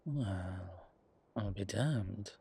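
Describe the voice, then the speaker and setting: A man playing a weary, wry vampire elder in an audio roleplay, speaking in a slow, sardonic drawl with formal, old-fashioned wording. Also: sits low, vocal fry, very noisy, heavily acted. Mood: wry, resigned. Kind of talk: dramatic audio roleplay acting.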